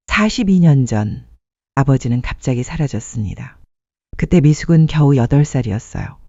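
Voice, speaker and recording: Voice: mid-range voice, dark tone, no echo, lo-fi sound. A narrator telling a scripted dramatic life story in an earnest way, posing rhetorical questions.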